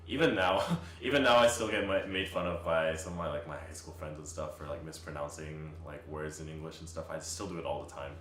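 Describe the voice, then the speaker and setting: A man's voice: a bass voice, brisk, echoey room, rough recording. A young man who is a college student, answering interview questions casually with lots of "like" and easy laughter. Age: young adult. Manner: animated, filler-heavy, laughing easily.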